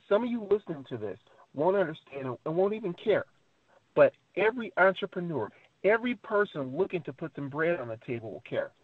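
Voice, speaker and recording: A husky, fairly high-pitched man delivers a motivational sales pitch on a dry, tinny phone line. He speaks emphatically, piling up repeated phrases and rhetorical questions with a preacher-like cadence. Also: no echo. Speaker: network marketing presenter on a recorded conference call. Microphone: telephone conference call.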